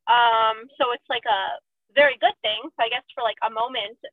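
A woman's voice: a little high, steady tone, moderate pace, dry recording. Woman on a tinny phone line. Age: 30s. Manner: chatty, self-mocking, often laughing. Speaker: surgical resident. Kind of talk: candid casual chat.

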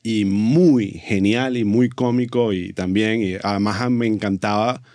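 A man's voice: on the low side, fairly even intonation, measured pace, a little mellow, dry recording, clean studio sound. A man with a Venezuelan accent, hosting a podcast and chatting casually with a guest. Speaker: podcast host. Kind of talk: casual conversational interview.

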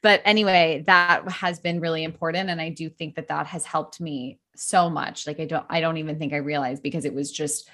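A woman's voice: deep voice, brisk, few pauses. A woman in her thirties, a new mother, talking casually and laughing often as she chats. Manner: chatty, laughs often, lively emphasis. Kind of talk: casual conversation.

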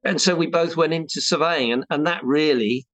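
A man's voice: fairly high pitch, normal speed, fairly bright tone, no echo. A man in his sixties from England, a surveyor being interviewed, talking in a chatty, rambling way with many restarts and self-deprecating jokes. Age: 60s. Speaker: chartered building surveyor, guest. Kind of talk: casual interview talk.

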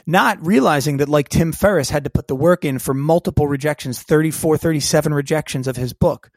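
A man telling a personal story as a podcast guest, lively and acting out the voices of people in it. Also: fairly high pitch, a little fast, no echo, studio quality. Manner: animated storyteller who acts out quoted dialogue. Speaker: guest, keynote speaker and coach.

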